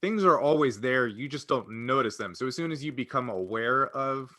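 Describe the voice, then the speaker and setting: A man's voice: medium pitch, lively intonation, normal speed, pushed and bright. A man co-hosting a casual podcast, explaining an idea while searching for his words. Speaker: podcast co-host.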